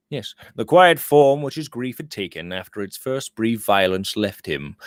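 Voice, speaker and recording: A man's voice: medium pitch, a little mellow, dry recording, clean studio sound. A man reading a literary novel aloud as scripted audiobook narration, with dramatic phrasing. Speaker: audiobook reader.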